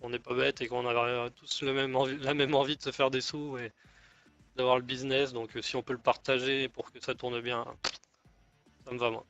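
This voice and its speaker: A man's voice: mid-range voice, little pitch movement, moderate pace, a bit bright, soft background music, close to an everyday voice. Man playing an easygoing gang leader, muffled chat. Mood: agreeable. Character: cooperative male street gang leader, respectful and easygoing. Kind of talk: improvised online game roleplay. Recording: dull lo-fi game voice chat.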